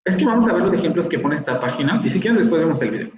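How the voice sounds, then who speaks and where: A man's voice: a little high, very animated, racing. Mexican male teacher on a video call, explains patiently, echoey room, muffled sound. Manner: explanatory, checks in with students. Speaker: university English teacher. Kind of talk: lecturing in an online class. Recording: roomy, dull online call audio.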